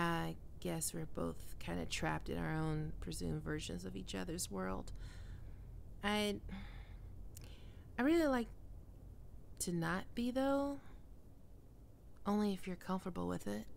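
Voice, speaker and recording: Woman playing a slow-talking, prickly, flustered young catgirl. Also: fairly low pitch, creaky, slight background noise, full character voice. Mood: hesitant, tender. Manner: halting, teasing, defensive. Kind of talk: ASMR roleplay, intimate acted monologue.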